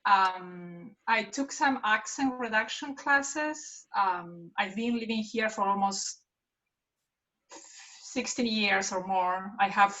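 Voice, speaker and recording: A woman with an Argentine Spanish accent introducing herself on a video call, pausing often to find her words. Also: mid-range voice, bright, forceful tone, rough recording. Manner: hesitant, with frequent um pauses. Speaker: actress and workshop participant.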